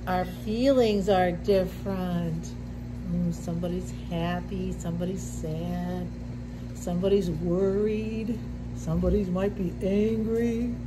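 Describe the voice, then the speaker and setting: A middle-aged woman reading a picture book aloud to young children, with deliberate simple phrasing and little asides. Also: lowish voice, steady tone, noisy background. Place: indoor classroom with sink and counter.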